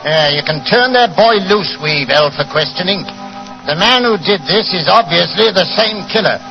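Higher-voiced English police inspector, old radio. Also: male voice, animated, normal speed. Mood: businesslike. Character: gruff, deferential London police inspector. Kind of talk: 1940s radio drama acting. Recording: dull vintage 1940s radio recording, music.

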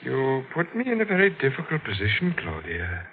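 An actor playing a brooding, menacing suitor in a 1940s radio mystery, speaking ominously and deliberately in a gravelly voice. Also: male voice, mid-range voice, huge pitch swings. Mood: quiet menace. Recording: thin, narrow old radio recording.

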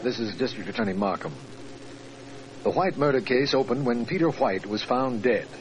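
An actor playing an earnest, formal district attorney in 1940s radio drama style. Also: male voice, mid-range voice, expressive, normal speed, soft background music, close to an everyday voice. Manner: formal, orderly. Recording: noisy 1940s radio recording.

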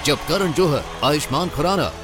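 A man's voice: a little high, animated, racing, over music. A man voicing an ad with a hyped, punchy promotional delivery. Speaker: ad announcer.

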